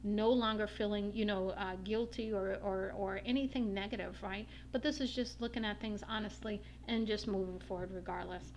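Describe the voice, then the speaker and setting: A woman giving a casual tarot reading, her voice slightly raspy from a long day, meandering with many ums and uhs. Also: mid-range voice, fairly even intonation, moderate pace, few pauses, slight background noise. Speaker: tarot reader.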